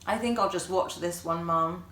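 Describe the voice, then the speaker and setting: A woman's voice: on the low side, moderate pace, a bit bright, close to an everyday voice. A woman voicing a keen, curious young girl detective in a children's story, with an inquisitive manner. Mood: casual. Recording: echoey room.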